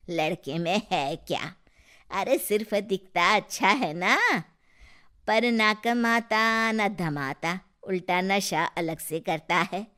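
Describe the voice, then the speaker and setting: A woman's voice: mid-range voice, a bit bright, clean tone. A woman voicing a gossipy, sneering middle-aged aunt in a cartoon story, catty and exaggerated. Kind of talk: animated story acting.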